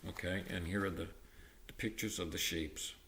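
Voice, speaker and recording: A man's voice: low voice, fairly even intonation, normal speed, vocal fry, a bit bright. Echoey-sounding man reading a textbook aloud. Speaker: volunteer-style book reader.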